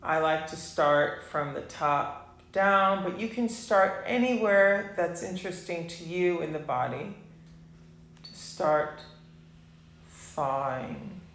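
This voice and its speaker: A woman teaching a guided meditation class, speaking softly and unhurriedly with long pauses between phrases. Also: lowish voice, animated, roomy sound, a little hiss or hum.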